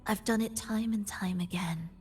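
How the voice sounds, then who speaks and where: An actress playing a proud, obsessive and haunted antagonist in a video game, speaking in a measured, haughty and sometimes breathy way. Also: female voice, medium pitch, fairly even intonation. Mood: resolute, wistful. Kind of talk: dramatic video game voice acting.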